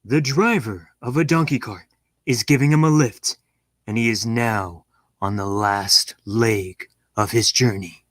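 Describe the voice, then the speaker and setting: A man in his thirties playing a suave, measured announcer in a 1930s-style suspense radio play. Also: on the low side, no room sound, studio quality, lightly acted. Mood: suave, solemn.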